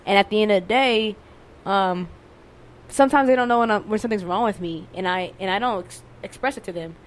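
A woman's voice: medium pitch, a little fast. Candid young woman, casual swearing. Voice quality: monotone voice. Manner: candid, frequent swearing and fillers. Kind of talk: casual conversation.

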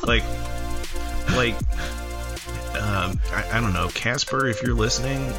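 Crude joking man under loud music. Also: medium pitch, measured pace. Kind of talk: casual comedic chat.

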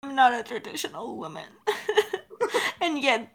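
A woman's voice: on the high side, wildly swooping pitch, a little slow, gravelly, slightly rough. A woman chatting casually as a podcast co-host, playful and slangy, often repeating her own phrases. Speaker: podcast co-host, audio drama creator. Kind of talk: casual chat, answering listener questions.

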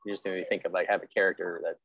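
A man's voice: sits low, level tone, rapid-fire, no echo, dull audio. A man hosting a podcast interview in a casual, chatty, informal way.

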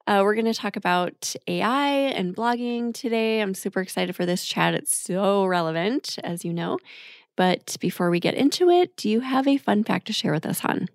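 A woman's voice: medium pitch, lively intonation, moderate pace, no echo. Woman podcast host with close, dry sound. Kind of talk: scripted promo and intro reading, casual interviewing.

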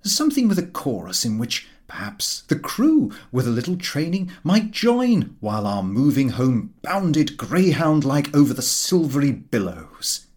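A man reading a comic novel aloud as a narrator, expressive and drily humorous. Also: on the high side, a little slow, hardly any pauses. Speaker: audiobook narrator.

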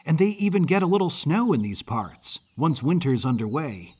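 Man acting a young boy, mid-Atlantic American accent, exuberant, folksy, storybook character, animated pitch, slightly husky. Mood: breezy, confident. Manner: quick, eager, folksy. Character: chatty, exuberant orphan circus boy.

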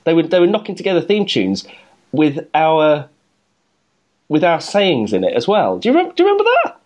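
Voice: mid-range voice, wildly swooping pitch, moderate pace, a little mellow. A podcast host chatting casually, rambling and often breaking off mid-sentence. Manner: rambling, self-interrupting, laughs often. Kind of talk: casual chat.

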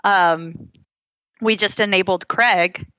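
Bright-voiced woman, wry headset chat. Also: mid-range voice, slow pace, low-quality recording. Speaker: podcast co-host. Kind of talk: casual chat. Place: lying in a corner of her home. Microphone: gaming headset.

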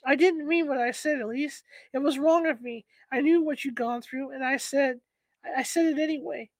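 A woman reading aloud and voicing a remorseful, frightened husband who begs and rasps. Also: high voice, moderate pace, no echo. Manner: begging, rasping.

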